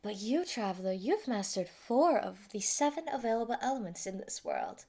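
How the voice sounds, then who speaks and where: A woman's voice: medium pitch, wildly swooping pitch, normal speed, darkish tone. A woman voicing a sadistic, gleeful female mad scientist who taunts and gloats over a captive in a theatrical roleplay monologue. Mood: taunting, gloating. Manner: taunting, gloating, giggly, swinging into whispers. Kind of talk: theatrical villain monologue, fan roleplay audio.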